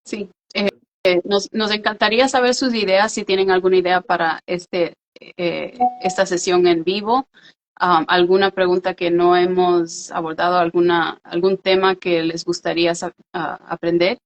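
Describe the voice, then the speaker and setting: A woman with a fairly low voice co-hosts a casual live chat. She explains her points earnestly, with a few fillers along the way. Her voice comes through a video call. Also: flat delivery, moderate pace, rough recording. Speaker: co-host of a live talk show.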